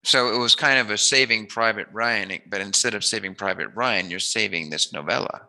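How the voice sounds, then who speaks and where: Casting: male interview host, lowish voice with a very sharp, forward edge, informal and rambling. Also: a little fast, rough recording. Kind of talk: casual chat interview.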